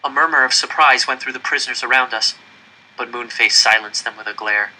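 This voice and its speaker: A man's voice: medium pitch, brisk, bright, forceful tone, rough recording. A man reading a first-person story aloud in an earnest, suspenseful narrating manner. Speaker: audiobook-style reader.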